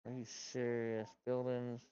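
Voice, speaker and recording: A man's voice: medium pitch, completely flat delivery, unhurried, mellow, dull audio, slight background noise. A middle-aged man streaming a game from home, grumbling and talking to himself as he plays. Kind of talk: casual gameplay chat. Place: home gaming room, indoors.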